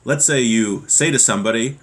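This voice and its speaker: A man's voice: mid-range voice, fairly quick, fairly bright tone. Man teaching an informal religious lesson. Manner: explains with acted everyday dialogue examples. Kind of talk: informal text lesson, reading then explaining.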